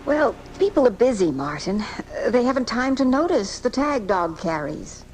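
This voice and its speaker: An actress playing a gentle, worried mother comforting her son in a 1980s radio drama, taped off the air. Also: fairly high pitch, sing-song, normal speed, music in the background. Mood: gently explaining. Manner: soothing, sympathetic. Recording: noisy amateur off-air radio tape.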